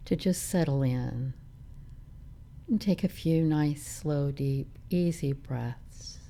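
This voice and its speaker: A woman leading a guided meditation in a calm, soothing manner, leaving long pauses between phrases. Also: low voice. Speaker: meditation teacher.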